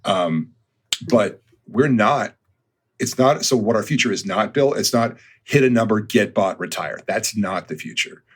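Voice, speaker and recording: Low husky man in his fifties. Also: lively intonation, unhurried. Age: 50s. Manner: thoughtful, with frequent ums and restarts. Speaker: guest, consulting firm founder. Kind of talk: conversational podcast interview.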